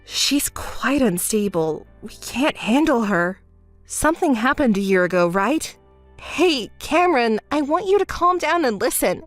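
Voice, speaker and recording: A woman voicing a calm, fed-up young woman who answers in a level, dry, scolding way in a dramatized story. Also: on the high side, measured pace, no room sound, faint music behind, voice pushed down. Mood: cool.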